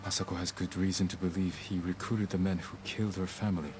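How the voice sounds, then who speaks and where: Man as grave samurai lord for a game cutscene, deep and controlled, resolute, scored with music. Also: level tone, fast, close to an everyday voice. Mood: measured, calm. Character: solemn, resolute male samurai lord, vengeful yet troubled. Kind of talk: dramatic video game acting. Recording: music behind the dialogue.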